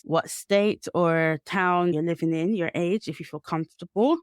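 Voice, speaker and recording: A woman's voice: fairly low pitch, normal speed, smooth, no echo, clean studio sound. A woman hosting a podcast, greeting her guest and asking friendly questions in a conversational way. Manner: friendly, scripted introduction then conversational questions. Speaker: podcast host. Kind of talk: podcast interview.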